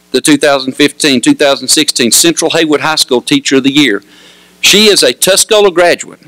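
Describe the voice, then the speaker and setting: A man speaks as a principal at a school ceremony. His voice sits a little high for a man and sounds close and dry on the microphone. He delivers formal thanks and introductions in a measured, courteous manner. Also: sharp tone, no room sound, poor audio. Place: auditorium.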